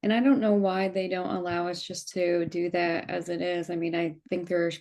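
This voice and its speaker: A woman from the United States, a meditation teacher talking on a video call in a calm, even way that she calls monotone. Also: fairly low pitch, normal speed. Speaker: meditation teacher asking questions. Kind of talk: casual conversation on a live call.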